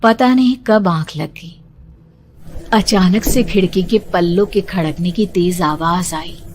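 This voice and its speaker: A woman's voice: lowish voice, measured pace, a little mellow, slight background noise. A woman narrating a scripted horror story in the first person, dramatic, suspenseful and emotive. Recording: music behind the voice. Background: music.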